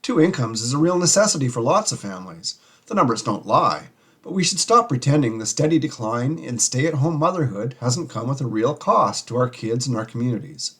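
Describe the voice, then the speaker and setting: A man with a husky voice delivering scripted radio-style commentary as a show host. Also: medium pitch, big pitch swings, a little fast, a bit bright.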